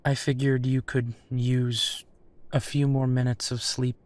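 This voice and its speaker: A man's voice: mid-range voice, level tone, measured pace, a little mellow, no room sound, lightly acted. A man plays a werewolf private investigator in an intimate audio drama. He takes his time over each phrase, sounding protective and fond, with a teasing edge beneath his dogged resolve. The sound is dry, with no room around the voice. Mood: gently concerned. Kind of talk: roleplay audio drama, intimate one-sided dialogue.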